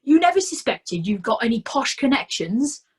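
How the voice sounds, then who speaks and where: A kindly, slow-witted sergeant who fusses over his friend and cracks jokes, in a raised, husky voice, as a woman reader puts him on. He sounds homely and eager. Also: sits high, sing-song, pitched above the performer's own voice, heavily acted. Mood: fussing.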